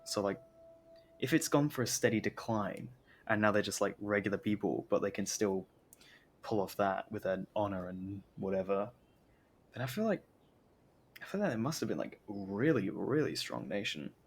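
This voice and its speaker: A man streaming a game with casual, halting, slightly mumbly commentary that rambles along. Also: on the low side, animated, a little hiss or hum. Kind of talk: casual live gameplay commentary. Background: game music.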